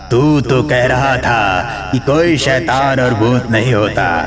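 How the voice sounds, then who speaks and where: A man playing a menacing, boastful demon chief in a horror cartoon, taunting and commanding. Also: medium pitch. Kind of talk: horror cartoon villain acting.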